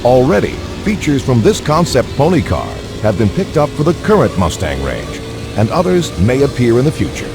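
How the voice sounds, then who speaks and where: A man's voice: medium pitch, wildly swooping pitch, normal speed, vocal fry, music in the background. A man narrating a scripted car showcase for a racing game in a polished, promotional announcer style.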